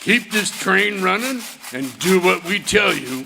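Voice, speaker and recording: An actor playing a menacing outlaw who holds up a train, in a stage reenactment of an old-time radio drama. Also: male voice, high voice, animated, unhurried, gravelly, full character voice. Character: menacing adult male outlaw train robber. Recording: reverberant theater hall.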